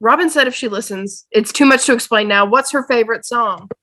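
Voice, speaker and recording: A woman's voice: fairly high pitch, a little fast, pushed and bright, no echo. A woman playing a frantic, exasperated young teenage boy, urgent and pleading, in a fan table read. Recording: dry video-call audio, music underneath.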